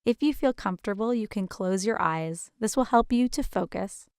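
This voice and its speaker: A woman leads a guided meditation in a gentle, soothing, invitational manner with deliberate pauses. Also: medium pitch, clear, resonant tone, dry recording, studio quality.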